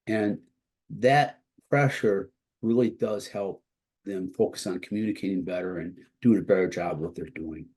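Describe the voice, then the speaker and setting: A man giving an informal instructional presentation as a college instructor, explaining haltingly with a congested, slightly husky voice that is starting to give out. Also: sits low, darkish tone. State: congested, starting to lose his voice.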